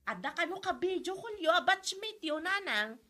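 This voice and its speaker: Woman as a very high-pitched Ilocano daughter. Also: very animated, moderate pace, bright, forceful tone, soft background music, heavily acted. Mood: placating. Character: young daughter meddling between quarrelling parents. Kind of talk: comedy drama acting.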